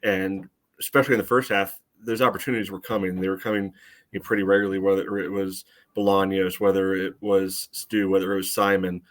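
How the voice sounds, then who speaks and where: A man co-hosting a soccer podcast, giving casual game analysis in a measured, conversational way. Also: low voice, flat delivery. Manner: measured, frequent "you know" asides. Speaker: soccer fan podcast co-host. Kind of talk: casual sports analysis chat.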